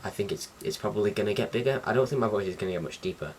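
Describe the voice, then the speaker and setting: Young guy w a low voice rambling casually, kinda far from the mic, bit of noise. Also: racing. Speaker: vlogger. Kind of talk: casual chat to camera. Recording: distant mic with faint background noise.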